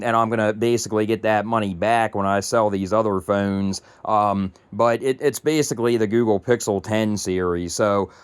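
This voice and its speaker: A man in his thirties talking casually to his viewers, rambling with many fillers and restarts, his voice a little raspy from allergies. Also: fairly low pitch, no echo. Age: 30s. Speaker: tech YouTuber. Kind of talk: casual vlog talk to camera.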